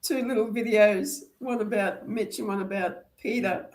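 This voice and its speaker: A woman's voice: medium pitch, moderate pace, darkish tone. A woman in her sixties hosting a casual live chat over a video call, teasing and laughing often. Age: 60s. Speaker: host of a live crochet chat stream.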